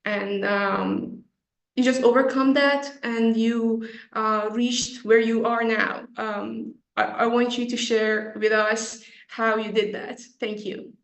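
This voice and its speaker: Slow, high-voiced young Afghan woman. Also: fairly even intonation. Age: young adult. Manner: earnest, hesitant. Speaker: student asking a question. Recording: roomy echoing video call.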